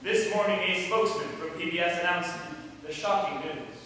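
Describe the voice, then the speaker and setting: A man's voice: sits high, a little fast, sharp tone. A man playing a satirical news reporter in a student stage performance, recorded from far off in an echoey, noisy hall. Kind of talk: student reader's theatre performance.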